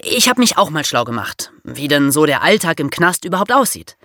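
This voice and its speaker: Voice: mid-range voice, very animated, a little fast, pushed and bright, no room sound, studio quality. A podcast co-host, explaining things in an informal, conversational way.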